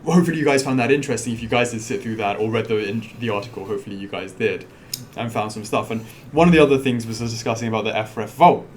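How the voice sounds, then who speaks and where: A man with a loud voice, co-hosting a live question-and-answer stream in a rambling way, full of restarts and chat shout-outs. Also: lowish voice, slight background noise. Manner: rambling, halting restarts, reads out chat comments.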